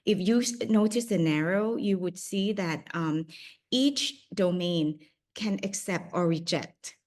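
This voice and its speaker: A woman with a Thai accent guides an online workshop in a slightly low voice. She speaks haltingly and thoughtfully, filling pauses with "um" and "uh" and starting her sentences over. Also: darkish tone. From Thailand. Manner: hesitant, many fillers and restarts. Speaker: workshop facilitator.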